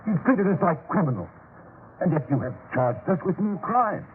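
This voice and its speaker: Casting: righteous young-spirited traveler, very animated intonation, earnest protests, formal period radio delivery, thin phone-like sound. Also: male voice, sits high, normal speed. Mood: indignant. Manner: pleading, insistent, formal. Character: earnest, indignant male traveling disciple from Galilee. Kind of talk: 1940s radio drama acting. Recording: thin, hissy 1940s radio recording.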